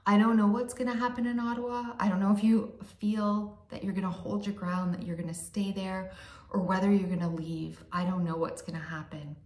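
A woman in her forties speaking straight to the camera from her bathroom at home, earnest and pleading, sometimes dropping to a soft whisper. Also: on the low side. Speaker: vlogger. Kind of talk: direct-to-camera appeal. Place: dim bathroom at home, indoors. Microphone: phone or webcam close to the face. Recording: echoey bathroom.

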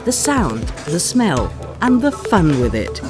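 A narrator reading a scripted factory documentary in a measured, explanatory way. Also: medium pitch, wildly swooping pitch. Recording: music bed behind narration. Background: background music.

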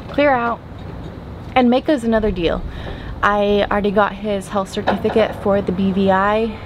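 Young woman, chatty vlog talk, handheld camera at arm's length, outdoors on a boat, noisy wind behind. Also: medium pitch. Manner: chatty, thinking aloud with fillers. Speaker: sailing vlogger. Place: outdoors on a sailboat deck at anchor. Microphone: camera held at arm's length.

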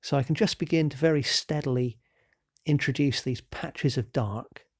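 A man with a cold, narrating a real-time painting demonstration in a relaxed, explanatory way with frequent ums and self-corrections. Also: medium pitch, moderate pace, dry recording, clean studio sound. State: has a cold. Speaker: painting instructor.

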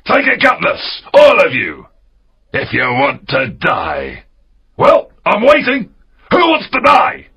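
An actor playing a fierce, cunning pirate captain in an audiobook drama, gravelly and husky, with a theatrical drawl. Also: male voice, on the high side, big pitch swings, takes it slowly, pauses often, a bit bright, muffled recording, heavily acted. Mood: furious, defiant. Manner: theatrical, drawling, swooping delivery.

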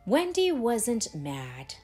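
A woman reading a children's story aloud in a deliberate, expressive way with dramatic pauses. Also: a little high, husky. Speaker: storybook reader, channel host. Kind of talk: children's read-aloud, scripted narration. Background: background music.

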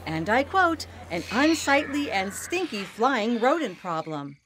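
An actress playing a brisk, dramatic TV news reporter in an animated game. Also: female voice, on the high side, noisy background. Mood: urgent. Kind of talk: animated newscast delivery.

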